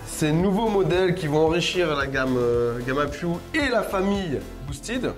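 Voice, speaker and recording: A man's voice: fairly high pitch, expressive, moderate pace. A young man chatting casually as he co-hosts a barber product review, bantering and often searching for his words, recorded on a lapel mic indoors. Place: indoor studio set. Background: background music.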